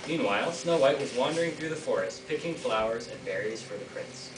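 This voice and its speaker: A man's voice: mid-range voice, lively intonation, a little fast, distant mic. Teen boy, playful school-play narrator, echoey room, noisy, heard from afar. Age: teens. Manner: playful, jokes with the audience. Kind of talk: reader's theater narration to a live audience. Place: school classroom or hall.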